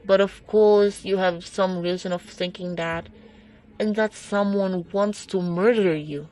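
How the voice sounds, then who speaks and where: A woman's voice: mid-range voice, steady tone, a little slow, dark tone, a little hiss or hum, natural voice, low-quality recording. A young woman acting in a student radio drama as a placating, dismissive police officer on duty.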